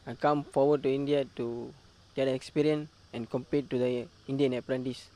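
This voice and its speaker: A jockey in his twenties from Malaysia introduces himself in a mellow, dark-toned voice. He keeps his words plain and simple. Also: mid-range voice, fairly even intonation, normal speed. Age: 20s. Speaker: apprentice jockey.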